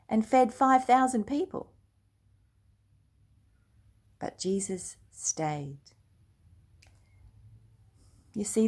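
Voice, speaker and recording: Slow, gentle older woman reading a story. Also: lowish voice, slightly rough. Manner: slow, gentle and hushed, dropping to near whispers. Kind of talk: reading a children's storybook aloud.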